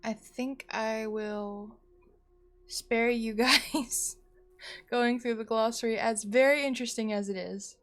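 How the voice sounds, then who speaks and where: High-voiced, expressive woman livestreamer over music. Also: slow pace. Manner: expressive, rambling, playful. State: sleepy. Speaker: live streamer, host. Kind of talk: casual chatty livestream sign-off.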